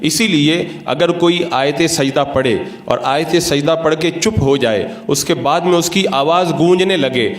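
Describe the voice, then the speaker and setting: Male cleric, slightly higher-pitched, formal and didactic religious lecturer, recorded with clear room echo. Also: measured pace, hardly any pauses. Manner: didactic, methodical, formal Urdu-laden diction. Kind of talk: religious lecture, explanatory sermon. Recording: roomy, echoing sound.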